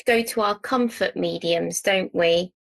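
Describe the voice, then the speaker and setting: Woman, podcast host, fry in the voice, dry recording. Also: fairly low pitch, a little slow, fairly bright tone, no echo. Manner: encouraging, lots of short agreeing sounds. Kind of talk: casual podcast chat.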